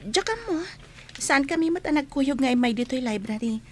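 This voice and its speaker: A woman plays a young female student in a radio drama. Her voice is high, and she comes across as kind, frank and playful. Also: lively intonation, normal speed, a little mellow, a little hiss or hum. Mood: frank, teasing. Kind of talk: Filipino radio drama acting.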